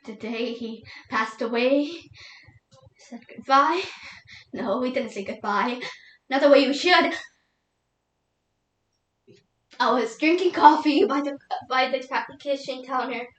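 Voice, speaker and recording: High-voiced grieving young widow, acted by a woman. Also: fairly bright tone. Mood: subdued sorrow. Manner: halting, emotional, pleading. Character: grieving young widow, tearful and doubting God. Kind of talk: solo dramatic interpretation monologue. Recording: echoey room.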